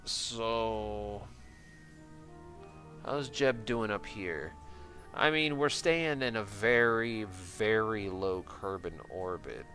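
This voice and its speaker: An American man streams a game in a low voice with a bright, forward edge. He chats casually with viewers, jokey and slangy, often poking fun at himself. Faint music plays behind him. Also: drawn-out words. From Michigan, United States. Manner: jokey, self-deprecating, slangy. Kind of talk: casual gameplay commentary, chatting with viewers.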